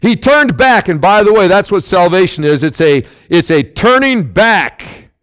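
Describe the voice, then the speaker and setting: A man preaching a sermon as pastor, loud and emphatic, with sudden swells and drops in his delivery. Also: high voice, animated, measured pace.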